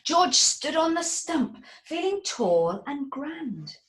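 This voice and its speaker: Lady reading a kids rhyme book, slow and sing-songy, swoops all over. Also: high voice. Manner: animated, theatrical storytelling. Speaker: narrator. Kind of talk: reading a rhyming picture book aloud.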